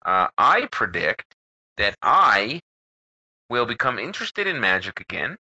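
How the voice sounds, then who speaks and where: Animated Canadian man, joking and swearing. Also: lowish voice, unhurried. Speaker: podcast co-host. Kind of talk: casual banter. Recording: slightly muffled podcast audio.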